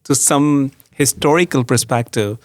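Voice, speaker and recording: A man's voice: medium pitch, unhurried, no room sound. A man hosting a podcast, asking brief questions and prompting his guest. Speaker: podcast host. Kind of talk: interviewer questions.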